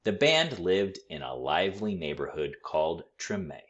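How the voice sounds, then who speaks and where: A man reading a children's story aloud with expressive, deliberate, dramatic phrasing. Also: low voice, dull audio. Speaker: storybook read-aloud reader.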